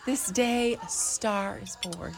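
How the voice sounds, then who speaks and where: A woman's voice: medium pitch, slow pace, gravelly, music in the background. A woman playing a mother superior of a convent orphanage in a community radio play, wry and motherly with a lilting way of talking.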